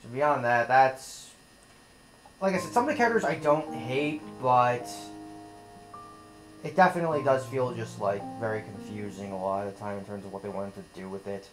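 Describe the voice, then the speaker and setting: A man giving a measured, critical take during a casual let's play chat. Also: mid-range voice, soft background music, poor audio. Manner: measured, critical, explains at length.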